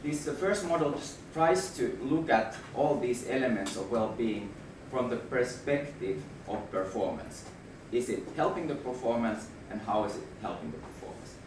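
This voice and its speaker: A man with a Finnish accent, hoarse from a flu, giving a presentation to an audience and restarting his words as he goes. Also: mid-range voice, expressive. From Finland. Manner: halting, restarts words, then rises in emphasis. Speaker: management consultant presenter. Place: room with an audience. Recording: roomy, distant mic with background noise.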